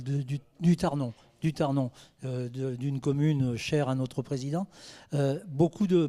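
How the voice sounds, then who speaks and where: Man, mellow relaxed tone, medium pitch, halting panel answer, repeated words, local mayor. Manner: halting, often repeats words while searching.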